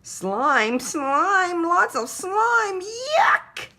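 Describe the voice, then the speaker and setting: Squealing storybook little brother, played by a woman: whiny, very high, wildly swooping, yelping in drawn-out repeats. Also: very animated, bright, forceful tone, exaggerated voice. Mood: disgusted, yelling. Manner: exclaims in drawn-out repeated yelps. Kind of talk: children's picture-book character voice.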